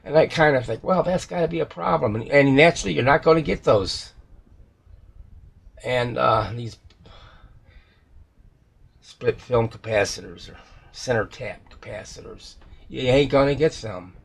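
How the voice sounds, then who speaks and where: Male repair technician, heavy fry and a husky edge, unscripted and rambling, thinking aloud. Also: mid-range voice, unhurried, long pauses. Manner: rambling, hesitant, self-correcting. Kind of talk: casual unscripted repair walkthrough.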